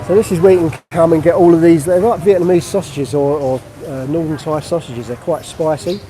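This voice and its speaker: A man's voice: fairly high pitch, steady tone, a little fast, background noise. A middle-aged man from England, chatting casually on a live-stream walking tour of a street market. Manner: chatty, friendly, narrating while walking. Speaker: vlogger.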